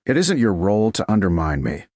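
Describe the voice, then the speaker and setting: A man's voice: lowish voice, sing-song, a little fast, darkish tone, no room sound, studio quality. A man voicing a proud, defiant medieval woman negotiator in an audiobook, with clipped, indignant retorts. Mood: indignant, defensive. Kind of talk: audiobook character dialogue.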